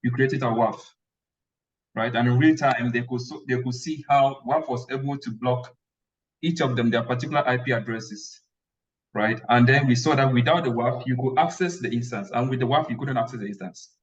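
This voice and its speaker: A man's voice: mid-range voice, moderate pace. Male host, enthusiastic, tag questions, distant from the mic on a dull call line. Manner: enthusiastic, checks in with "right?". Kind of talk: online panel talk, interviewing a guest. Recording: muffled, distant call audio.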